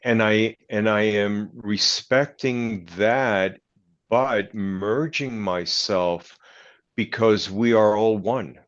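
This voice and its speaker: Older man, interview guest, fairly deep voice, very slow, halting, earnest, reflective, slightly muffled recording. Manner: deliberate, halting, repeats phrases, earnest. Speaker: interview guest, priest and author.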